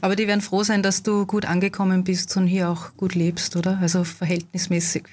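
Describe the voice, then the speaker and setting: A woman interviewing a young man, asking gentle follow-up questions and checking she has understood him. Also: on the low side, little pitch movement, brisk.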